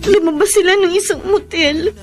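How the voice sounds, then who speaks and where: A woman's voice: extremely high pitch, moderate pace, soft background music. A woman playing a betrayed, indignant young woman in a Filipino radio drama, with an emotional, melodramatic Filipino delivery. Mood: downcast.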